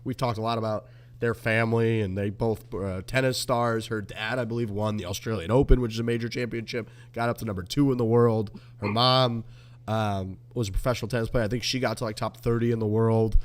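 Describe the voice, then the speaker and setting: A man's voice: lowish voice, fairly even intonation, a little fast. Casual swearing male podcast co-host. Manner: loose, swears freely, banters. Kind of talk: casual chat.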